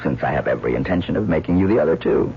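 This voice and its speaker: An actor playing an earnest, hesitant young lover in an old-time radio drama, speaking in a halting way. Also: male voice, extremely low pitch, lively intonation, slight background noise. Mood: tender. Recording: dull vintage radio recording.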